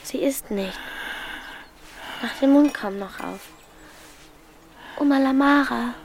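A woman's voice: sits high, slow pace, soft background music. An actress playing a feisty thirteen-year-old girl in a naturalistic radio drama, blunt, impatient and slangy. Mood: worried.

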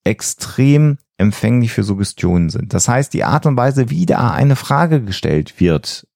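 A man's voice: mid-range voice, sing-song, measured pace, vocal fry, a little mellow, no echo, clean studio sound. A man, co-hosting a podcast and explaining things in an earnest, emphatic way. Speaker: podcast co-host.

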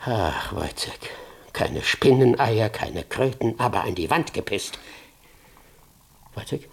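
An actor playing a pompous, fanatical doctor and scientist in a German radio drama, excitable and scolding, declaiming and repeating phrases emphatically. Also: male voice, fairly low pitch, slow pace, vocal fry, slightly rough, heavily acted. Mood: agitated, demanding.

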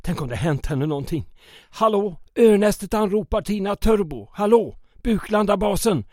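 Anxious, fussy mayor for old-time radio drama: high-pitched, courteous, easily flustered, dry studio sound. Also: male voice, lively intonation, darkish tone, no room sound. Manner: nervous, stammering, effusively polite. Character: anxious, fussy male town mayor secretly aiding resistance.

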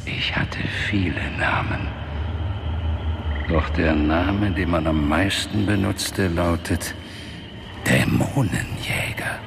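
An actor playing a mysterious ancient demon hunter in a fantasy radio drama, whispering slowly and ominously with a breathy, gravelly voice. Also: male voice, low-pitched, noisy background, exaggerated voice. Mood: grave, knowing. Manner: slow, whispering, urgent and ominous.

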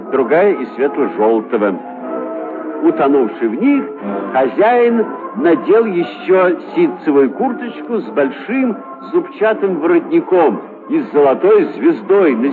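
Lively male storyteller, muffled narrow sound. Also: fairly high pitch. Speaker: narrator. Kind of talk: expressive scripted storytelling for children.

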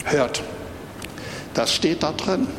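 Man, emphatic sermon, repeated phrases, echoey hall, background noise and rumble. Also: expressive, slow pace. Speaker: preacher.

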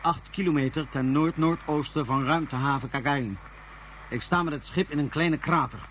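An actor playing a terse, commanding spaceship pilot in a radio drama, reporting in clipped phrases. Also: male voice, on the high side, normal speed, fairly bright tone, a little hiss or hum, close to an everyday voice. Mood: businesslike. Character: terse male highborn spaceship pilot on a covert mission.

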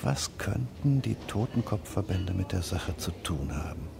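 An actor playing a dry, terse, probing police detective in naturalistic radio drama dialogue. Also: male voice, deep voice, animated, unhurried, gravelly, background noise, exaggerated voice. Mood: dry, confiding.

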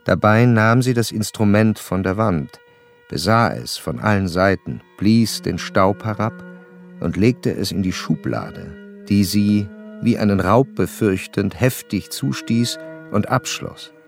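An actor playing an educated, reflective gentleman narrator in a literary radio drama, speaking in a measured, formal way. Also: fairly low pitch, lively intonation, vocal fry, soft background music. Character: curious educated gentleman recounting a sad memory.

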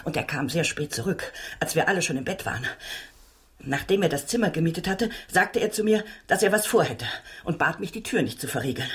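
Anxious working-class landlady for radio play: slightly low voice, deferential, earnest, insistent. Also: lively intonation, a little fast, husky, exaggerated voice. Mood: earnest, troubled. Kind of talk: German radio drama acting.

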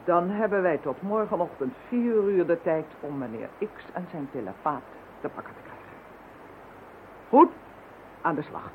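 Tinny recording, woman acting determined psychic lady, swingy pitch, emphatic, radio drama. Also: animated, normal speed, natural voice. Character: fierce, determined woman leading psychic fight against villains. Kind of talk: Dutch audio drama acting. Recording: thin phone-like sound, faint noise.

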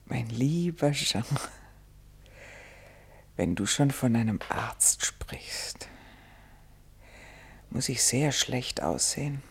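An actress playing a gravely ill older woman in a radio play, tender and wry, her voice weak and breathy. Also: extremely low pitch, animated, very slow, long pauses, full character voice. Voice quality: husky, whispery, creaky. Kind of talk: intimate radio drama acting.

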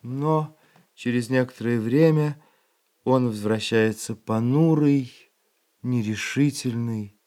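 A man as the narrator of a radio play, reading scripted stage action in a measured, expressive storytelling way. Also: mid-range voice, lightly acted.

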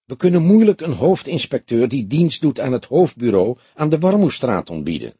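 A man voicing a calm, wry old police detective in a measured, dignified audiobook delivery. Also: medium pitch, husky, no echo, voice pushed up. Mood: matter-of-fact. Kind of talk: audiobook character dialogue.

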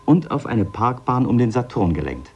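An actor playing an eager scientist in a 1970s German radio drama, explaining bold theories in an insistent, professorial way. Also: male voice, medium pitch, very animated, normal speed, gravelly, darkish tone, slightly rough, lo-fi sound, soft background music, full character voice. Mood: earnest lecturing.

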